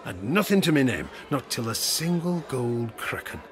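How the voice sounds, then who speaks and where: A man playing a weathered old sailor and ex-harpooner with a gravelly voice, telling superstitious tales theatrically in an audio drama. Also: mid-range voice, very animated, background noise, natural voice. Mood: rueful, confiding. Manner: theatrical, rustic dialect, drawn-out suspenseful phrasing with chuckles.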